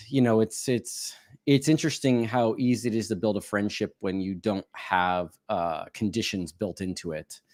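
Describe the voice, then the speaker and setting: Halting low-voiced man in dry sound. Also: dry recording. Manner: halting, many restarts and repeated words. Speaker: podcast guest. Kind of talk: casual conversation.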